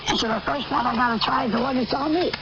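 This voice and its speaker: An older American woman preaches a rambling end-times sermon in a high-pitched voice. She is emphatic and repetitive, halting between phrases. It comes over shortwave radio, muffled and clearly noisy.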